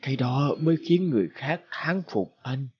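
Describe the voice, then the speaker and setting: A man voicing a gentle, wise magic horse. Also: medium pitch, animated, normal speed, slightly rough, lo-fi sound. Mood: gentle reproach.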